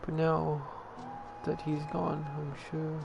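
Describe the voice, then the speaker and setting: A man's voice: a little high, monotone, takes it slowly, gravelly, noisy background. A man casually reading video game dialogue aloud in a subdued, hesitant way. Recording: game music behind voice.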